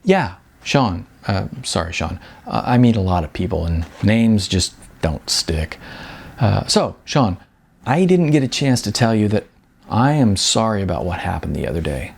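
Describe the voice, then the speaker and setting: A man voicing a laid-back, apologetic young movie star in an audiobook, speaking in a halting, casual, earnest way. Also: lowish voice, sing-song, gravelly, husky, pitched below the performer's own voice, full character voice. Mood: sheepish.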